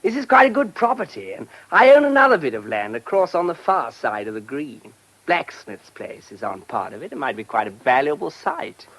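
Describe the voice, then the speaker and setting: A self-satisfied English country-house owner in a radio drama. He is breezy and boastful, with a lively, swooping delivery and a creaky, rough-edged voice. His talk is full of chummy, moneyed slang. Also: a little high, moderate pace, full character voice, rough recording. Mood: blunt, breezy. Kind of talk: British radio drama acting.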